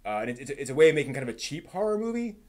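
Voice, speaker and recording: A man hosting a casual live stream, thinking aloud in a halting, rephrasing way while giving emphatic opinions. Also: a little high, big pitch swings, a little mellow. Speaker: livestream host. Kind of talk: casual live-stream chat, answering viewers' comments.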